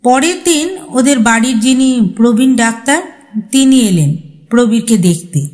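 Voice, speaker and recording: A woman narrating a horror story as a storyteller, with a suspenseful, expressive dramatic reading. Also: fairly high pitch, measured pace.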